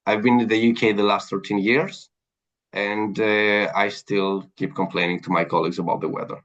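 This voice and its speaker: A man's voice: fairly low pitch, steady tone, moderate pace, a bit bright. A man from Greece with a Greek accent, speaking earnestly as an interview guest about his career. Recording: dull lo-fi sound.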